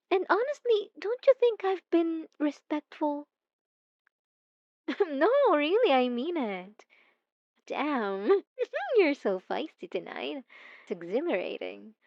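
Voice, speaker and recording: Woman acting a high-pitched, sweetly menacing obsessive stalker. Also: very animated, no echo, highs cut off, full character voice. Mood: playful, defensive. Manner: coy, mocking, cooing with drawn-out teasing phrases. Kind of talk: intimate ASMR roleplay monologue.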